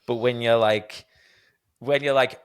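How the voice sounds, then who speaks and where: A man's voice: medium pitch, steady tone, unhurried, smooth. A man hosting a podcast interview, telling candid, self-deprecating stories in a casual conversational way. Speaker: podcast host.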